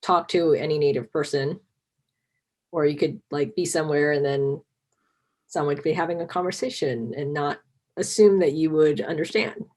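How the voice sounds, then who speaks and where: Low husky woman guest, native Cantonese speaker. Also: moderate pace, a little mellow. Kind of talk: casual interview chat.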